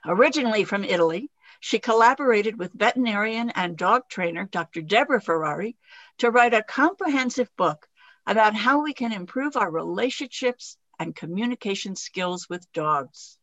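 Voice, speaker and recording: A woman hosting a radio interview show, delivering formal, deliberate introductions and questions. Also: medium pitch, expressive, a bit bright, dry recording. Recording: dull, dry studio sound.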